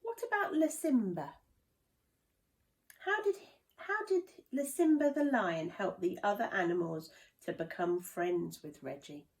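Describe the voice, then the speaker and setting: A woman reading and explaining a children's story, gently asking young listeners questions. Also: medium pitch, lively intonation, a little slow.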